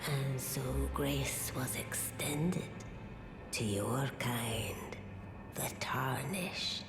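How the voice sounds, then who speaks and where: An actress playing an ancient, solemn old female oracle in a fantasy game, with a breathy, aged voice and a reverent, portentous way of speaking. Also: a bass voice, expressive, echoey room, over music, full character voice. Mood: solemn, knowing. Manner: slow, reverent, portentous, archaic phrasing. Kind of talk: scripted fantasy game dialogue.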